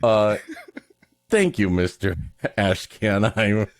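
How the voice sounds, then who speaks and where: A man's voice: lowish voice, animated, takes it slowly, no echo. A man hosting a comedy podcast, reading an old story aloud and breaking off for playful, joking banter.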